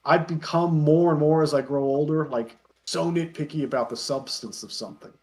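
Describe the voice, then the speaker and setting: A man talking casually as an interview guest, telling anecdotes in an animated way and acting out remarks. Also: on the high side, brisk.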